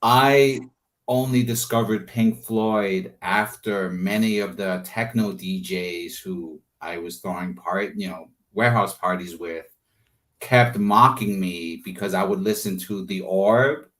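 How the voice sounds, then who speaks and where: A man with a fairly low voice speaks as a guest in a casual interview. He thinks aloud, wandering into asides as he goes. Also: slow pace, a bit bright.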